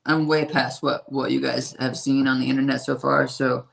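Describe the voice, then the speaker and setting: A man with a raspy, hoarse voice, talking about his music in a casual interview and rambling with lots of fillers and swearing. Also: medium pitch, flat delivery, moderate pace, a bit bright, low-quality recording. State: hoarse from a strained voice. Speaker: independent musician.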